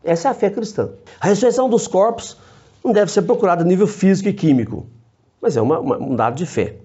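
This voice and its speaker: Priest with a mellow voice. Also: male voice, high-pitched, expressive, slightly rough. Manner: measured, explanatory, short phrases with frequent tag questions. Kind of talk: theology teaching to camera. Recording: dull lo-fi recording.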